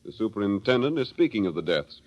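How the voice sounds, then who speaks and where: A man's voice: on the low side, very animated, fast, lo-fi sound. A man with an American accent narrating a scripted 1930s radio western in a dramatic, declamatory manner. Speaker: announcer, narrator. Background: music.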